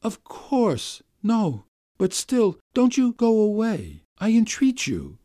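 A man reading aloud voices a weak, irresolute middle-aged gentleman who speaks in a soft, subdued, ingratiating way and keeps pleading. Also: huge pitch swings, slow pace, no echo, studio quality, full character voice. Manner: pleading, rising to high plaintive appeals.

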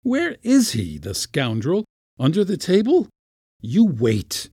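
A man's voice: medium pitch, sing-song, a little slow, darkish tone, breathy edge, dry recording, clean studio sound. A man voicing a pompous, short-tempered Latin schoolmaster in a storybook reading, scolding and giving orders. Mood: furious. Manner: scolding, commanding, ranting. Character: irascible Latin schoolmaster uncle, pompous and furious.

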